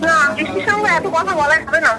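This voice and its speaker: A woman's voice: very high voice, quick pace. A middle-aged woman on a phone call as a parcel customer, protesting firmly in everyday conversation. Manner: firm, protesting. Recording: phone call under loud music. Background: loud music.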